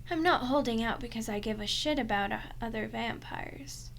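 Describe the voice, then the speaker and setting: A woman acting a starving, captive vampire in an ASMR roleplay, proud and flirtatious, with teasing sarcasm that often drops into breathy murmurs. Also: a little high, normal speed, a little hiss or hum. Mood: wounded, defiant.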